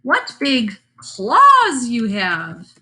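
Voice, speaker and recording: A woman voicing an innocent little girl in a fairy tale, with a deliberate, wondering delivery. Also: a little high, wildly swooping pitch, pushed and bright, full character voice.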